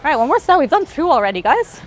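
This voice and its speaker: A woman's voice: high voice, big pitch swings, fast, faint music behind. A woman fitness vlogger explaining exercises while she does them, a little out of breath, with effortful sighs and exhales. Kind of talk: casual workout instruction. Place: gym.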